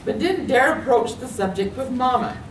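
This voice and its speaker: A woman's voice: high-pitched, lively intonation, moderate pace. An older woman with an American accent reads a personal story aloud expressively at a public reading. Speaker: writer reading own work. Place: public reading in a library room. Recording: echoey room, distant mic, faint noise.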